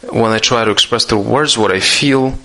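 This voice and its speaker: A man giving a live spoken interpretation of a question-and-answer session, halting often with restarts and fillers. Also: mid-range voice, pushed and bright, rough recording.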